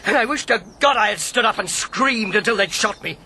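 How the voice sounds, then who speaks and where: A man's voice: high voice, expressive, a little fast, pushed and bright, a little hiss or hum, heavily acted. An actor in a radio drama playing an earnest old man, a former wartime codemaster full of regret and self-doubt. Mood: anguished guilt. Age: 70s.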